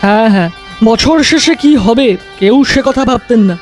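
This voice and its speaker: Man as a shrill-high, blunt ghostly attendant. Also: animated, normal speed, faint music behind. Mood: frank. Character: blunt male ghostly gandharva attendant serving a king. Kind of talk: cartoon voice acting.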